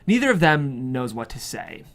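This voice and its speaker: A narrator reads screenplay stage directions aloud. The delivery is measured and expressive, with lively, wide-ranging intonation. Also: medium pitch, creaky. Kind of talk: scripted narration of a screenplay at a table read.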